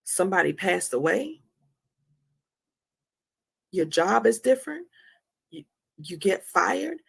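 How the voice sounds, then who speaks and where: Female, forties, heavily creaky and husky. Candid motivational speaker, leans on repetition for emphasis. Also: medium pitch, very animated, slow. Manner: emphatic, repeats phrases for effect. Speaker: host of a live talk on anxiety.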